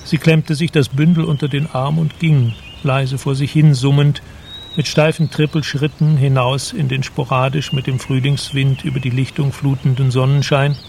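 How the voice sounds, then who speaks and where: A man's voice: mid-range voice, creaky, a little mellow, slight background noise. A man reading scripted literary narration as the narrator of a radio drama.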